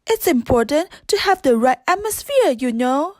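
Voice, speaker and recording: A showy, fussy gentleman from a children's storybook, put on by a woman reader. The pitch is high and sweeps about with flourish. It sounds close and dry. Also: sing-song, normal speed, no echo. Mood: advising.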